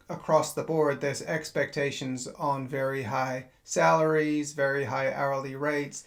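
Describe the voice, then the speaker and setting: A man hosting a business podcast, asking long, carefully framed questions. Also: medium pitch. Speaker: podcast host.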